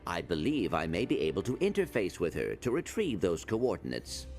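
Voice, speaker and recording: Low, precise, polite robot sidekick. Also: male voice, wildly swooping pitch, normal speed, gravelly, a little mellow, soft background music, full character voice. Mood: confident. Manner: formal, precise, without contractions. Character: polite, brainy little male robot sidekick. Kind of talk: animated video game cutscene acting.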